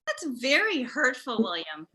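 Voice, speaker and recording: A woman's voice: high-pitched, wildly swooping pitch, unhurried, bright, forceful tone, heavily acted. A woman acting a critical, easily wounded mother of a grown son at a comedy table read, pointed and reproachful.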